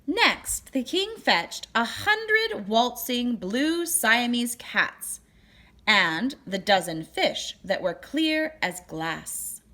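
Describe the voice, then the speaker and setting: A woman reading a children's picture book aloud as narrator, with deliberate, expressive phrasing. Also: a little high. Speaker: storybook reader.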